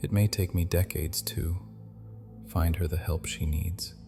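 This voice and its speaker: Guy doing a deep gravelly vampire prince, stern and flat, echoey hall. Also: measured pace, over music. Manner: stern, commanding. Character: cold, haughty male vampire prince. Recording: long hall reverb.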